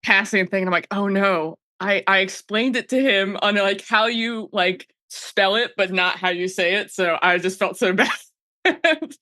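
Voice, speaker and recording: A woman in her thirties with an American accent talks as a podcast guest. Her voice is bright and sits at a middling pitch. She is chatty and candid in casual conversation, and the sound around her is dry. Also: a little slow, dry recording. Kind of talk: casual chat.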